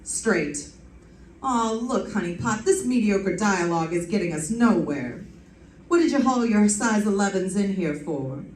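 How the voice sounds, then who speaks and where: A woman's voice: medium pitch, animated, measured pace, a little mellow, a little hiss or hum, lightly acted. Echoey, sassy radio detective dame played by a woman. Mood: sardonic. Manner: wisecracking, arch, theatrical delivery. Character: sassy, flirtatious woman private detective narrating her own case. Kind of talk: old-time radio detective drama acting. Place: live stage performance in an echoey room. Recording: echoey room, distant mic, music behind.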